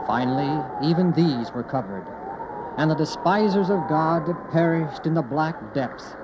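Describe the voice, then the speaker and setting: A man's voice: on the high side, expressive, unhurried, very noisy. A man narrating a scripted Bible story with grave, dramatic storytelling. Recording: dull lo-fi recording.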